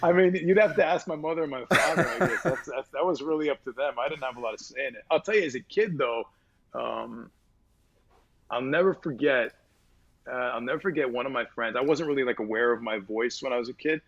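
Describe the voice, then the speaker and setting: A man with a husky mid-register voice chats loosely in an interview, piling on fillers and restarts and tossing in casual swears. The sound is dull and lo-fi. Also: medium pitch, fairly quick. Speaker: voice actor guest.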